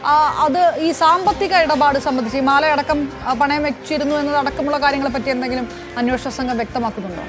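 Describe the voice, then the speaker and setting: Very high-pitched female news anchor. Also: a little fast, over music. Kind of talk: live questioning of a reporter.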